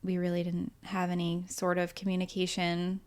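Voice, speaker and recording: A woman's voice: on the low side, monotone, moderate pace, darkish tone. Upbeat woman in her thirties telling stories. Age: 30s. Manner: upbeat storyteller who laughs often. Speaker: podcast co-host. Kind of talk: casual storytelling.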